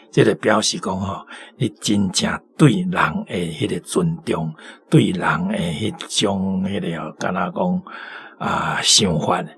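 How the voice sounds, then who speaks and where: A man's voice: low-pitched, vocal fry, husky. A man with a Taiwanese accent commenting on a story in an informal, explanatory radio chat. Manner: conversational, explanatory.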